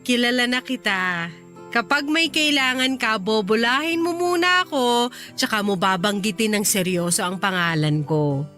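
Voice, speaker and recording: A woman playing an expressive young wife and mother in a radio drama, emphatic and full of pointed questions. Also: fairly high pitch, moderate pace. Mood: playful, teasing. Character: exasperated young wife and mother, teasing then reproachful. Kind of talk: radio drama acting in dialogue. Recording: music under the voice. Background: background music.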